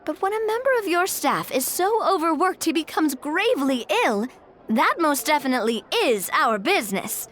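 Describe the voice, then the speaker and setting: A woman's voice: sits high, very animated, moderate pace, a bit bright. An actress playing an earnest, principled young woman in a video game, with a frank, conversational manner. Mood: firm, defiant.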